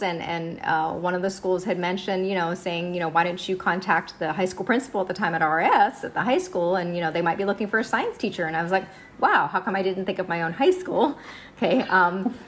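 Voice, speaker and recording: Chatty woman teacher, fillers, lower voice. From United States. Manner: chatty, many fillers and "okay"s, laughs easily. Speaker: high school science teacher, interview guest. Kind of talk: casual interview, personal story.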